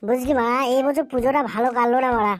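Woman playing a high-voiced, crude young lad. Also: fast, soft background music, close to an everyday voice. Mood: irritated, crude. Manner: swearing, bragging. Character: crude, boastful young male slacker, gang sidekick. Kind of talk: amateur comedy cartoon dubbing.

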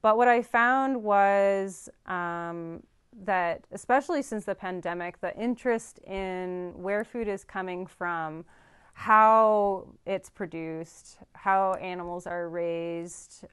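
A woman's voice: on the low side, a bit bright, clear, resonant tone. A young woman farm veterinarian answering interview questions thoughtfully, with frequent ums and restarts, seated in a studio. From Canada. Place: studio with dark backdrop.

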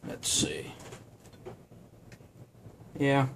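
A man's voice: very slow, background noise. A man giving a casual how-to demonstration at a home bar counter, talking unhurriedly with many fillers. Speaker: vlogger.

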